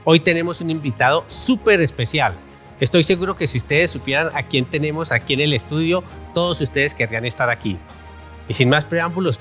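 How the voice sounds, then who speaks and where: A man's voice: mid-range voice, a bit bright. A man hosting a studio interview show, welcoming viewers and introducing his guest in an upbeat, formal way. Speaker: interview host.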